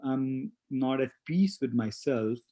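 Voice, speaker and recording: A man in his forties who grew up in India and speaks English as a second language, reflecting slowly with many pauses and fillers as an interview guest. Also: medium pitch, warm tone. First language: not English. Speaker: guest, spa owner. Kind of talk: casual interview conversation.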